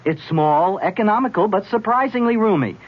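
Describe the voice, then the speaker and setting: A courteous, persistent salesman with a notably high voice and deadpan, slightly hesitant delivery. It is a comic radio ad, heard on a dull old recording. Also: brisk. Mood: polite, persistent. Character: persistent, folksy man pitching cars to passerby. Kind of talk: comic radio commercial sketch.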